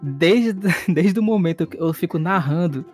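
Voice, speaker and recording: A man's voice: fairly high pitch, animated, unhurried, darkish tone, faint music behind. A man with a Brazilian accent chatting casually as a player and co-host on a role-playing podcast.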